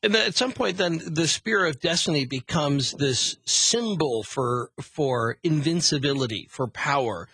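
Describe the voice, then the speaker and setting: Male radio host, sharp kinda husky voice, asking and recapping stuff in broken phrases. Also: a little high, animated, measured pace, hardly any pauses, dry recording. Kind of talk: radio interview, prompting and summarising.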